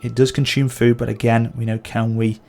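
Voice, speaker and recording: A man's voice: mid-range voice, little pitch movement, a little fast, vocal fry, a little mellow. A man with a sore throat and occasional cough, casually commenting as he plays a strategy game. He thinks aloud and corrects himself as he goes. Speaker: gameplay channel host.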